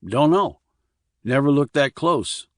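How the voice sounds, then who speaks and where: A man voicing a weary, rough-edged small-town man in an audiobook, talking in clipped, colloquial, blunt phrases. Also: medium pitch, animated, slow pace, dry recording, studio quality. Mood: uneasy, dismissive.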